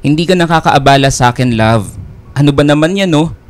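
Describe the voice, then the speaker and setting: A man playing a smooth-talking, possessive young boyfriend with a Filipino accent in a radio drama, persuasive and self-assured. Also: on the high side, animated, a little fast, close to an everyday voice. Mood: insistent, guilt-tripping. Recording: music bed behind the voice.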